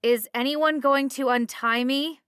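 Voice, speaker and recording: A woman's voice: high-pitched, steady tone, measured pace, fairly bright tone, clean tone, no room sound, clean studio sound, voice pushed up. A woman voicing an indignant young woman, a reformed thief in a storybook, protesting insistently.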